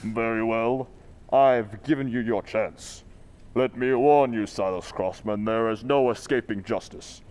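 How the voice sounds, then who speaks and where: A man's voice: medium pitch, unhurried, a little mellow, natural voice. A man playing a stern masked crime fighter in an old-time radio drama, firm and commanding. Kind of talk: 1940s radio acting.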